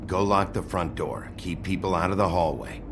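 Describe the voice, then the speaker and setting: An actor playing a gruff, weary sheriff investigating a crime scene, speaking tersely and matter-of-factly. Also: male voice, lively intonation, normal speed, noisy background. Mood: grim, businesslike. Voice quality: gravelly, low. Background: game score music.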